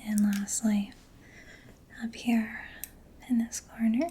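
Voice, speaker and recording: Female ASMR performer, soft whispery mechanic roleplay, dark mellow tone, close-miked with some noise behind. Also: a little high, little pitch movement, takes it slowly. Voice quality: soft, breathy, mostly whispered. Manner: gentle, personal-attention murmuring. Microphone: close-up ASMR microphone.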